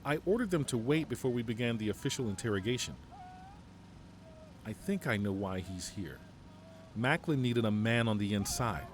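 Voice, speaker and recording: A commanding general, voiced by a man in an audio drama, giving crisp, authoritative orders. His pitch moves a lot as he talks. A faint hiss of noise sits behind him. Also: expressive, moderate pace, long pauses, natural voice. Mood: calm. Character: commanding male general leading troops. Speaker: voice actor. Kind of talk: fan audio drama acting.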